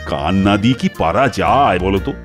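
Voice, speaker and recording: A man's voice: low voice, expressive, fast talker, soft background music. A man playing a vain, anxious middle-aged king in a comedy cartoon, dramatic, fussy and commanding in manner. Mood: pleading, insistent. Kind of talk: Bengali comedy cartoon voice acting.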